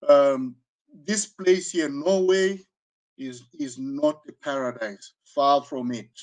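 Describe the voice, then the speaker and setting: A sixty-year-old man with a southern African accent, reflecting in conversation as an interview guest, halting and often repeating himself with frequent "you know". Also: medium pitch, rough recording. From Zambia, born in South Africa. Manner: halting, searching delivery with frequent repetitions and 'you know'. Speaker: interview guest, karate teacher.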